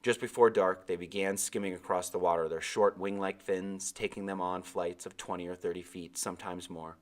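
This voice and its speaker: A man's voice: low voice, fairly even intonation, moderate pace. A man reading a novel aloud as its narrator, in scripted storybook narration.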